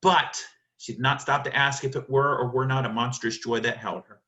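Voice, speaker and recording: Male literature lecturer. Sharp, bright delivery, emphatic and theatrical, asks and answers his own questions. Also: medium pitch, steady tone, normal speed, highs cut off. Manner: emphatic, dramatic, rhetorical questions answered by himself.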